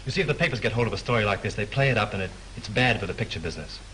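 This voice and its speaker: A brisk nosy publicity man, fast talk, faint 1930s soundtrack hiss. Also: fairly low pitch, expressive, bright, forceful tone, rough recording. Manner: brisk, probing questioner. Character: brisk male studio publicity man investigating. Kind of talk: 1930s film acting. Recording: hissy 1930s film soundtrack.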